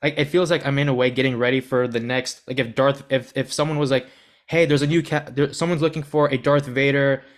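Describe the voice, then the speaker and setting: A man's voice: medium pitch, steady tone, fairly quick. Young American male podcast host, earnest and chatty with a mid-range voice. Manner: earnest, rambling, self-deprecating. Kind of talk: podcast interview.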